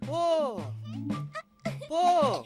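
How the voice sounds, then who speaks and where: Children's cartoon creature: gentle, curious, babyish, wildly swooping delivery, slow, music underneath. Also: high-pitched, big pitch swings, exaggerated voice. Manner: babyish, wondering, short repeated phrases.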